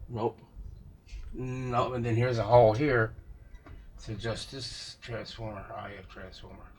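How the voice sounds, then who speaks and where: A man giving casual, unscripted commentary as he works on a vintage radio, musing aloud in a halting way to himself and his viewers. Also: fairly low pitch, steady tone, darkish tone, slightly rough, rough recording. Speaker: vintage radio restorer showing a repair.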